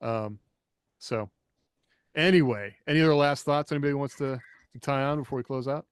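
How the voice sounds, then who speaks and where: A man's voice: medium pitch, brisk, smooth, no echo, clean studio sound. A man co-hosting a casual podcast discussion, rambling loosely and chuckling as he goes. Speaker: podcast co-host and writer.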